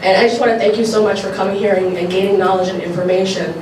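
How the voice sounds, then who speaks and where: A woman's voice: medium pitch, flat delivery, quick pace. A woman legislator with a stuffy nose, giving casual remarks at a community forum, with many fillers. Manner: informal, filler-heavy, repeats phrases. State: stuffy from allergies. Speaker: assemblywoman. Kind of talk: public forum speech. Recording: echoey hall, distant mic, noisy.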